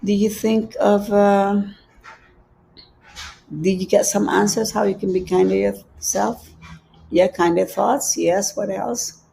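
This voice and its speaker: Gravelly, soft-toned Filipino woman teaching slowly. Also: medium pitch, steady tone, slight background noise, poor audio. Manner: gentle, repeats phrases, reads viewer comments aloud. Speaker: spiritual teacher. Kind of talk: live-streamed spiritual teaching. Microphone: livestream setup.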